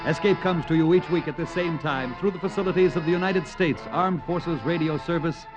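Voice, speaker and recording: High-pitched formal vintage radio announcer. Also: male voice, fairly quick. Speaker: armed forces radio announcer. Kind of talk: 1940s-50s scripted radio announcing. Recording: muffled old radio recording, loud music.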